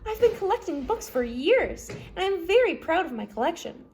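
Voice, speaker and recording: Woman acting a high-voiced, gracious lady judge from a storybook. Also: moderate pace, slight background noise, heavily acted. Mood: proud, welcoming. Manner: cheerful, polite, expressive. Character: kindly, gracious, bookish woman judge and neighbour. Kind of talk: storybook character voice.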